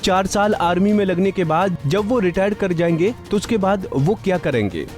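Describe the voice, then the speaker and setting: A male reporter reading a scripted news voice-over in a measured, formal way. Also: high voice, expressive, darkish tone, soft background music.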